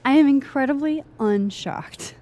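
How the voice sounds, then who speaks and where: A woman playing a guarded, dry-witted young academic in a video game, husky and understated in delivery. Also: sits high, a little slow, warm tone. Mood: dry teasing. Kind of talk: naturalistic video game dialogue acting.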